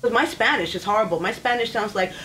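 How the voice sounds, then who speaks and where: A woman with a Bronx accent, lively and joking, talking in a documentary interview in an indoor kitchen. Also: medium pitch, fast, far from the mic, slight background noise, rough recording.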